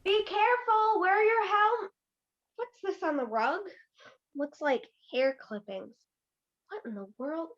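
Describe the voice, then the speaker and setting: A woman plays an attentive mother in a radio play. Her voice is extremely high, and the recording is dull and lo-fi. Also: takes it slowly. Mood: caring, puzzled. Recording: dull lo-fi sound.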